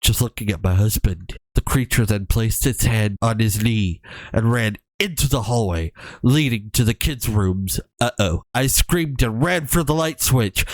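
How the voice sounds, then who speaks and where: A man reading a horror story aloud in a casual, halting way, with wry joking asides. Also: medium pitch, no echo.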